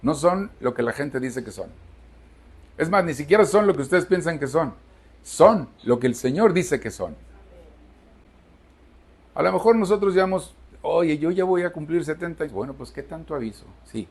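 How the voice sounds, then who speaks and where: A man's voice: fairly high pitch, expressive, moderate pace, slightly rough. A Mexican man in his sixties preaching a Sunday sermon to his church, emphatic, asking the congregation questions and answering them himself. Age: 60s. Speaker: pastor. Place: church service.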